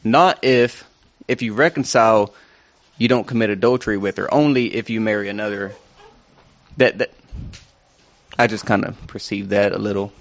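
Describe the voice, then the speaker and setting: A man's voice: on the low side, fairly even intonation, normal speed, long pauses. A man in a church discussion, tentatively thinking aloud about a verse. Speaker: church member sharing. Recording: dull lo-fi sound.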